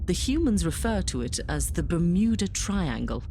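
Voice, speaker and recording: Battle-weary female general, acted by a woman: low, measured, authoritative, wry, audio drama. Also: very animated, warm tone, a little hiss or hum. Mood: composed, wry.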